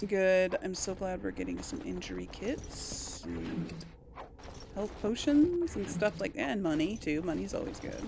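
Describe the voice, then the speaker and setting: Female gameplay commentator, lively intonation, slow delivery, chatting to characters and to herself. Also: medium pitch, creaky, background noise. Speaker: host of a role-play gaming series. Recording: game music behind the voice. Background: video game music.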